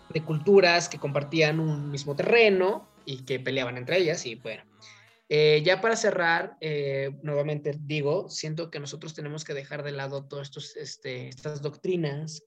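A woman with a low voice and a Mexican accent speaks as a talk host over faint music. She shares her opinions informally, in a discursive way, often turning to check that her listener agrees.

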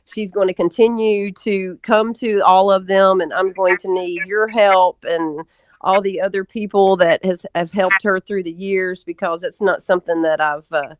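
A woman's voice: mid-range voice, fairly even intonation, moderate pace, no echo. Middle-aged female interview guest, earnest and conversational, repeats herself, close dry sound.

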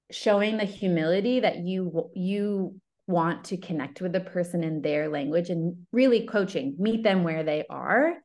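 A woman's voice: fairly low pitch, a little mellow. A woman who works as a love coach, speaking as an interview guest in an earnest, enthusiastic, rambling way. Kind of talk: interview guest, casual conversation.

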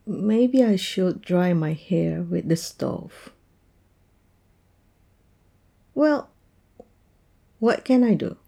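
A woman's voice: fairly low pitch, animated, vocal fry, warm tone, heavily acted. A woman acting an oversensitive young woman in an intimate audio roleplay, speaking to her partner in a hesitant, plaintive way. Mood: sulky. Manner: hesitant, plaintive, halting delivery. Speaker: roleplay voice performer. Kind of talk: intimate audio roleplay, one-sided dialogue.

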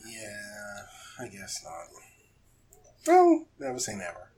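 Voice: deep voice, huge pitch swings, slow pace, dark tone. A podcast co-host talking casually and playfully, acting out bits of dialogue while chatting as a fan. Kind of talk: casual fan discussion with acted-out quotes.

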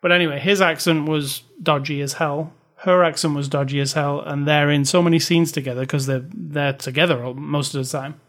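A young British man talking films with a co-host, wry and opinionated as he picks at bad accents. His voice sits a little high for a man, and his complaints come out animated and emphatic. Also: fairly quick, hardly any pauses. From Britain. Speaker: podcast co-host. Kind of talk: casual film review chat.

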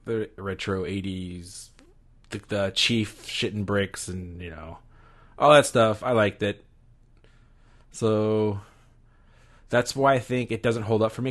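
A man's voice: fairly low pitch, creaky. A man hosting a casual movie countdown podcast, wry and swearing casually. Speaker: podcast host running the countdown. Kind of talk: casual movie review chat.

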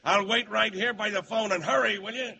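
An actor playing a gruff, curt, bossy working man in 1940s radio drama style. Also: high-pitched, fairly even intonation, fairly quick, bright, forceful tone, a little hiss or hum, full character voice. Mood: curt, demanding.

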